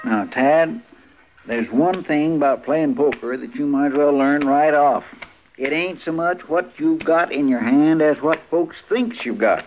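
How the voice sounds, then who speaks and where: An actor playing a folksy, excitable, garrulous frontier deputy with a rural American drawl, in a 1950s radio western. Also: male voice, a little high, measured pace, over music, natural voice. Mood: folksy, sly. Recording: dull, noisy, crackly 1950s radio recording.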